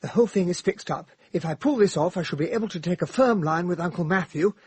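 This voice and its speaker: High, raspy, prim English gentleman. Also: quick pace. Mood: reading aloud. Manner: proper, slightly flustered. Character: prim, honourable, easily shocked English gentleman sidekick. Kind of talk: radio drama acting.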